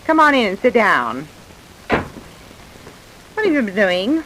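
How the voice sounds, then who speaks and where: A chatty, hospitable American housewife in a vintage radio play. Her speech rises and falls freely at a middling pitch, with a faint hiss over the old recording. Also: big pitch swings, takes it slowly. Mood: friendly, hospitable. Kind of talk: old-time radio drama acting.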